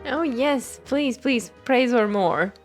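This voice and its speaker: A woman streamer chats casually while commenting on a game. She is not a native English speaker and talks at a slow pace, playful and expressive, with soft music in the background. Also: fairly high pitch. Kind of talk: casual live game commentary, chatting with viewers.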